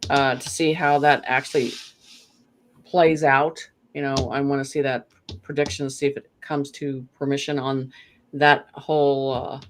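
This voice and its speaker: Spiritual reader guest, rambling, restarts, casual chat. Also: mid-range voice.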